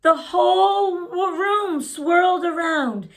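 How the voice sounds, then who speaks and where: A woman's voice: very high voice, slow. A woman reading a picture book aloud expressively as a children's story-time host. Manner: asks listeners questions as she reads.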